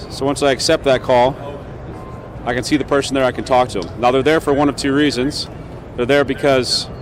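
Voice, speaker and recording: A man's voice: mid-range voice, noisy background. A man giving an informal product demonstration, explaining step by step in a conversational way on a trade show floor. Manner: conversational, checks in with "right?". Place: trade show exhibition hall.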